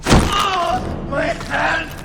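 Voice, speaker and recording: A man's voice: very high voice, extremely slow, creaky, very noisy, exaggerated voice. A man playing a frightened, cowed maester in a fantasy video game, pleading and in pain. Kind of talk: dramatic video game acting. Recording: long reverb, loud music behind.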